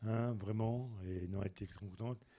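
A man speaks on a community radio show in a warm, halting way, pausing as he tells his story. His voice is low and mellow. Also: steady tone. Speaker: participant. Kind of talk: casual chat.

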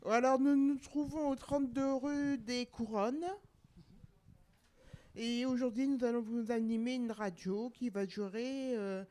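A woman opens a community radio show with a slightly formal, announcing welcome. Her voice is a little high yet mellow and soft in tone. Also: steady tone, measured pace. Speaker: radio co-host.

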